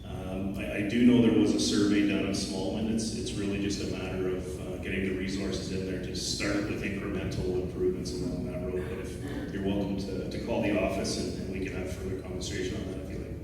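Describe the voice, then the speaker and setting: Man, town official, measured explanations, restarts, distant mic, reverberant hall, background noise. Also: low voice, monotone. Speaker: town public works official. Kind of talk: answering questions at a public meeting. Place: council chamber.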